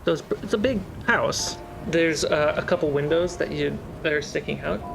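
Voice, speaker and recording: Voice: medium pitch, normal speed, creaky, poor audio. Game master describing stuff for a tabletop game, casual, music going behind. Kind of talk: narrating a tabletop role-playing game.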